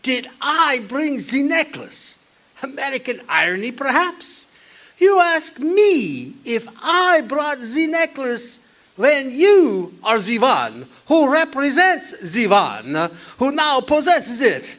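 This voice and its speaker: A sly little German villain from a radio detective spoof, high-pitched and swooping wildly. He taunts and threatens in a slow, theatrical German accent, turning his sentences back to front. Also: big pitch swings, a bit bright, heavily acted. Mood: mocking. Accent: put-on German. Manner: inverted syntax, taunting, theatrical. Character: natty little German criminal henchman, sly, menacing, comically indignant. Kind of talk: comic old-time radio detective drama acting.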